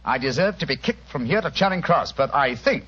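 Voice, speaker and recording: A confident British gentleman detective, theatrical and brisk in old radio drama style. The delivery swings widely in pitch, with a husky edge and a slightly dull broadcast sound. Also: animated. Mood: eager, excited.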